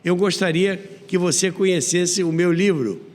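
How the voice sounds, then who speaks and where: A man's voice: high voice, moderate pace, darkish tone, in a large hall, slight background noise. A Brazilian man hosting a history program, narrating in a conversational storyteller's way with wry, theatrical asides. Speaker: host of a radio history show.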